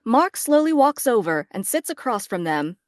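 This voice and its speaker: A woman's voice: on the high side, very animated, moderate pace, no room sound. A woman reading stage directions as scripted narration for a drama.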